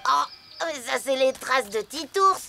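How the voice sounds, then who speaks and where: Very high, slow-talking little playmate. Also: female voice, animated, vocal fry, exaggerated voice. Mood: easygoing. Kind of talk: French animated children's series dubbing. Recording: music behind the voice.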